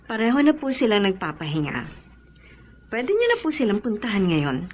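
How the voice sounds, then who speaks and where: An actress playing a reassuring, professional doctor in a Filipino radio drama. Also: female voice, medium pitch, sing-song, normal speed, lightly acted. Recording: noisy ambience behind the voice.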